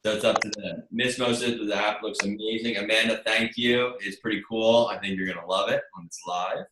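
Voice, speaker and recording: Bright-voiced man pitching in a roomy space. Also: fairly even intonation, moderate pace. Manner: chatty, rambling, informal, addresses listeners as you guys. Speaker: marketing presenter hosting a team webinar. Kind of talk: casual sales pitch on a group video call. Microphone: video call. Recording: roomy echo, faint noise, clicks.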